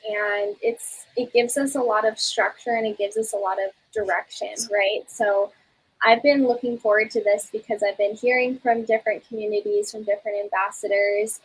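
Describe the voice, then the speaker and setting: A breathy woman with a slightly high voice hosts an online community call. She talks in a chatty, encouraging way and fills pauses with ums. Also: rough recording. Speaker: community host. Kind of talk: live online community session.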